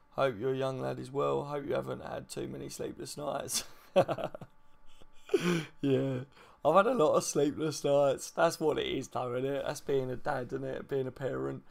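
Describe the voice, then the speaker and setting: A man with a deep voice hosting a casual live stream from home, speaking quietly and in a laid-back way with the mic right in front of his mouth. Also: creaky. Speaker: channel host. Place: at home, baby asleep next door.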